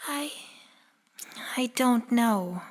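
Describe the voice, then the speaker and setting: A woman playing an exiled pony princess in a fan radio drama, distressed, gentle and pleading, speaking haltingly. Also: a little high, lively intonation, full character voice. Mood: hesitant, forlorn. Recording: long reverberant hall.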